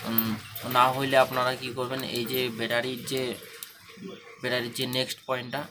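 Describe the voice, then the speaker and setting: A man talks very quickly in a flat, even tone, walking through a hands-on tutorial step by step and repeating his instructions. His pitch is average, and clear noise runs through the home-made recording. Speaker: phone repair tutorial host.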